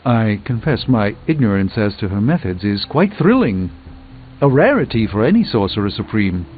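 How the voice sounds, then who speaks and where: An actor playing an erudite, dryly witty sorcerer in a video game, with pompous, theatrical, ornate delivery. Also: male voice, fairly low pitch, very animated, normal speed. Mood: admiring, grand. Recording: muffled phone-like band, music behind.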